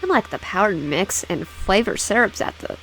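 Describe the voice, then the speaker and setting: Female game streamer, slightly low-pitched, casual read-aloud with stumbles and restarts, music behind. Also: animated, fairly bright tone, poor audio. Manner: halting, stumbles over words and repeats phrases. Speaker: let's player reading a visual novel aloud. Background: background music.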